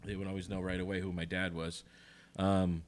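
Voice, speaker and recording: A man co-hosting a podcast in casual chat, with a cutting voice that carries, talking in a relaxed, rambling way. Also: deep voice, fairly quick. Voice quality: says his voice is cutting and carries. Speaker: podcast co-host. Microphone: headphones and studio mic.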